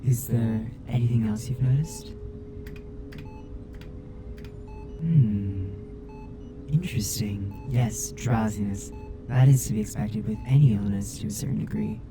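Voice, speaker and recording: Caring male robot crewmate played by a man, formal and measured, for ASMR audio drama with music underneath. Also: mid-range voice, big pitch swings, natural voice. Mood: calm, attentive. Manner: formal, precise, measured wording.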